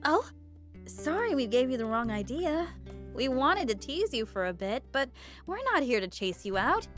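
A woman's voice: sits high, very animated, moderate pace, fairly bright tone, soft background music. A woman playing a friendly, teasing young woman in a video game, casual and blunt.

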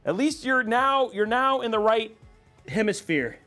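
A man's voice: very high voice, a little slow, faint music behind. A man in his thirties hosting a livestream from a studio while he builds a PC, playfully reading out guesses. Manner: playful, reads out guesses with excitement. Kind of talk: casual livestream chat.

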